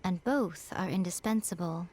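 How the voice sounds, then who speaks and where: An actress playing a self-assured, teasing shrine maiden in a video game, with a playful, polished, knowing delivery. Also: female voice, on the low side, moderate pace, a little mellow, natural voice. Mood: gently probing. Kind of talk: video game character acting. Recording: background music.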